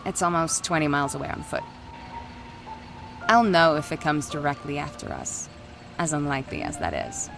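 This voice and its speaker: A woman voices an ancient female ghost for a visual novel. Her voice sits a little low, and she speaks in a terse, formal, coolly knowing way. Faint music plays behind her. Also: vocal fry. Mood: grave. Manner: formal, dry, measured.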